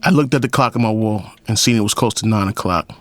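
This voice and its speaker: A man's voice: lowish voice, fast talker, close to an everyday voice. A man playing a lonely, wry, middle-aged police captain who narrates his story in an audio drama. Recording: faint music behind the voice.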